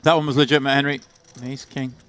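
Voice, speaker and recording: A man co-commentating a live poker stream, throwing in quick, jokey one-liners. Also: mid-range voice, level tone, fairly bright tone, slight background noise.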